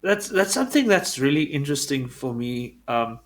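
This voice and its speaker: A man, an Afrikaans speaker, hosting a casual interview, animated and swearing freely. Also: mid-range voice, moderate pace. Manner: animated, swears, acts out quotes. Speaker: podcast host.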